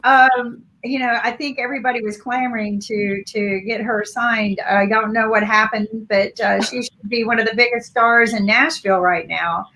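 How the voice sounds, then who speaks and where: A woman panelist telling a story in a lively, chatty way, laughing as she goes. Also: on the high side, normal speed, hardly any pauses, a little hiss or hum, rough recording. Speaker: panelist, music producer. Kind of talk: casual storytelling.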